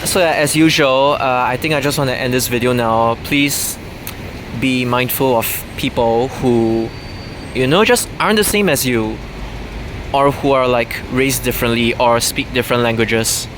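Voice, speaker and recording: A man's voice: mid-range voice, moderate pace, noisy background. A man talking casually to camera in an unscripted, rambling way, full of fillers and restarts. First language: English. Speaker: vlogger.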